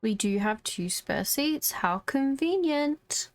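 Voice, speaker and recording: A woman's voice: sits high, animated, slow. A woman game streamer in a casual livestream, reading dialogue and commenting in an informal, joking, slangy way. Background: faint game music.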